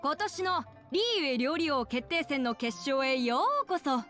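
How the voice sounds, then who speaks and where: A poised young female announcer in an anime game. Her high voice is formal and ceremonious, with measured phrasing. Light music sits underneath. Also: lively intonation, fairly bright tone. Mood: formal, proud.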